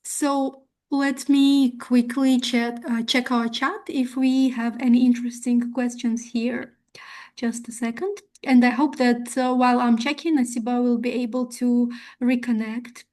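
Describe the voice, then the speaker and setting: A woman whose first language is Russian moderates an online Q&A, her voice pitched high. She sounds polite and formal as she relays audience comments. The sound comes through a video call. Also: a little mellow. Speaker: webinar moderator.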